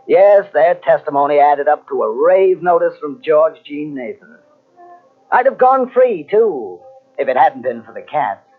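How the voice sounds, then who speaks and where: Cornered, theatrical radio suspect, husky voice. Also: male voice, a little high, big pitch swings, measured pace, soft background music. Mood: rueful, wry. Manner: theatrical, emphatic. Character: male actor suspect, cornered, rueful and theatrical. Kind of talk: 1940s radio mystery acting. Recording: tinny vintage radio recording on cassette.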